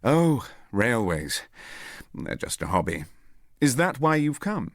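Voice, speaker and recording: A keen, eccentric gentleman detective from a radio story, voiced by a male reader. His speech is brisk and decisive with an airy streak, swinging freely in pitch and recorded very dry. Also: sing-song, no echo.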